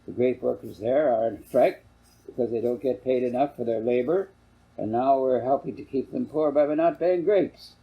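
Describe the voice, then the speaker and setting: A man voicing a sharp-witted, principled farm mother with a dry, pointed manner in a storybook. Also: medium pitch, normal speed, breathy edge, highs cut off.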